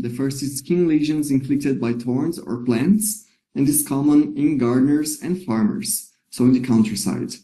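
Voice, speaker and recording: Man with a very dark, mellow voice. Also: mid-range voice, low-quality recording. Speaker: medical presenter. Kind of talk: reading a case presentation.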